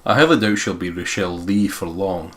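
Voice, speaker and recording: A man's voice: sits low, a little fast, background noise. A man hosting a let's play, reading visual novel narration aloud and breaking off into sweary, joking asides.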